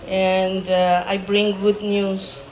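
Chilean woman speaking slowly, in flat tones. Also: mid-range voice. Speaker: ceremonial fire leader. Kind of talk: ceremonial welcome speech. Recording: roomy, noisy live ambience.

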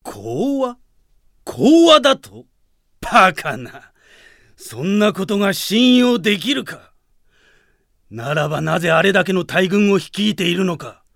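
Gravelly, blustering old general. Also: male voice, high-pitched, very animated, a little slow, long pauses, a bit bright, no echo, studio quality, exaggerated voice. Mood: scornful suspicion. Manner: indignant, blustering archaic speech. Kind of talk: radio drama acting.

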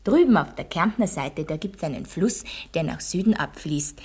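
A woman's voice: sits low, animated, normal speed, darkish tone, breathy edge. A woman playing an Austrian village schoolteacher in a radio play, quizzing her pupils and telling them a heroic tale with didactic emphasis. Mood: brisk, quizzing. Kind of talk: radio drama, classroom storytelling.

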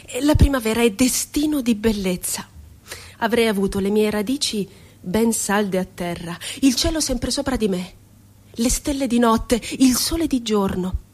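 An actress playing a wounded, ironic woman poet and former asylum inmate in a theatrical radio monologue, with dramatic, deliberate phrasing. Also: a little high, a little mellow, close to an everyday voice. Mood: wistful, lyrical. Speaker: stage actress.

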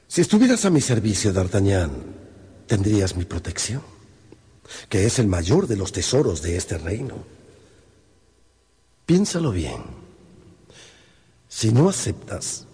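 Husky, menacing cardinal. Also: male voice, on the low side, warm tone, full character voice. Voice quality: husky, raspy. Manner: imperious, deliberate. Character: haughty, menacing powerful male cardinal. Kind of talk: Spanish-language radio drama acting. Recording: long hall-like reverb.

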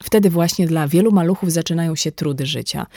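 A woman reading a scripted nonfiction audiobook in a measured, articulate manner. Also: low voice, darkish tone, no room sound, studio quality. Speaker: audiobook narrator.

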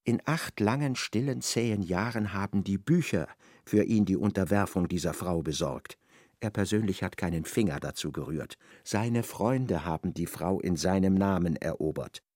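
An actor narrating a literary radio play in a precise, measured way. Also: male voice, low voice, darkish tone, dry recording, studio quality. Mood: measured narration.

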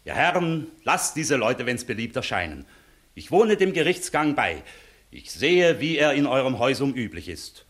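A dignified, animated court inspector. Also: male voice, medium pitch. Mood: commanding. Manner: formal, measured, official. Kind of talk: 1960s German radio play acting, classical stage.

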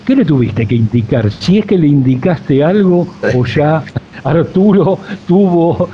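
A man with an Argentine accent, a radio interviewer speaking in short, halting phrases with pauses. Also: expressive. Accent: Argentine Spanish. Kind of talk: unhurried interview talk. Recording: muffled, low-fi with faint noise.

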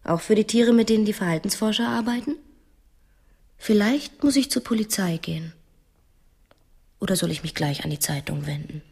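An actress playing a strictly raised, quietly cunning wife who feigns naivety in a radio play, speaking hesitantly with pointed innocence. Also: female voice, mid-range voice, lively intonation, normal speed, creaky, pauses often, husky. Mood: veiled threat. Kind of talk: radio play acting in dialogue.

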